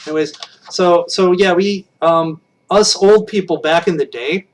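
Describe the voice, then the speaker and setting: A man in his late thirties chats with his viewers from home, his voice sitting a little higher than most men's. He rambles and restarts his sentences, poking fun at himself as he goes. Now and then he drifts away from the webcam mic, so his voice sounds distant. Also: a little slow. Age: 30s. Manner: rambling and self-deprecating, many restarts. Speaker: live stream host. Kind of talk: casual chat with viewers.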